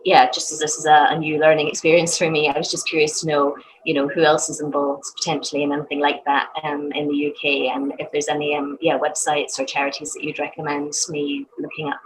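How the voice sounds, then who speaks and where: A woman's voice: deep voice, completely flat delivery, brisk, hardly any pauses. A woman volunteering with a charity, politely asking questions in an online discussion, with many "um" fillers. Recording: noisy background.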